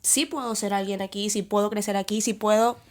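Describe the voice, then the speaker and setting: A young woman from Venezuela with a Venezuelan Spanish accent, talking through her thoughts in a relaxed podcast chat, with pauses and restarts. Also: medium pitch. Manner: reflective, thinks aloud with fillers and restarts. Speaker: podcast guest. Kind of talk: casual conversation.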